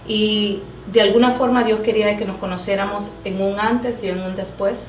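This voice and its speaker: A woman's voice: medium pitch, steady tone, moderate pace, distant mic. Woman host with echoey faraway sound. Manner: warm pastoral phrasing with fillers. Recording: echoey room, background noise.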